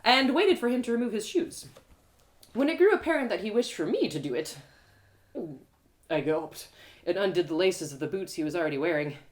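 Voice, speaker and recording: A woman narrates first-person fiction as a live storytime reading. Her voice sits at a middle pitch and moves up and down freely. The delivery is expressive and theatrical. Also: a little fast. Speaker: author and storyteller.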